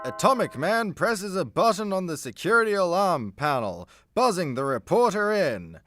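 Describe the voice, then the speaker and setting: A man's voice: high voice, big pitch swings, normal speed, over music. A man reading scripted narration as the narrator of a comedy radio play.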